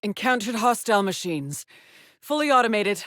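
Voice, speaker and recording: An actress playing a weary, determined lone space explorer in a video game, with a measured, solemn, haunted delivery. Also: female voice, medium pitch, expressive, fairly bright tone, no room sound, studio quality, close to an everyday voice. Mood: urgent reporting. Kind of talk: dramatic game voice lines, short log entries.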